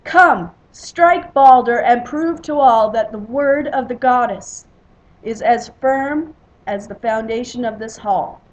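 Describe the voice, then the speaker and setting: A woman's voice: on the high side, slow pace, rough recording. A woman voicing a belligerent male thunder god, bellowing and commanding, in a myth. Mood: angry.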